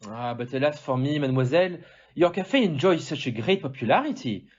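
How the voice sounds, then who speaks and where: A man playing a polite, observant gentleman detective in an audio play, with a French accent and a formal, theatrical manner. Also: a little high, sing-song, fairly quick. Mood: courteous, observant. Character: polite, observant foreign gentleman detective with little grey cells. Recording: muffled audio, loud music behind.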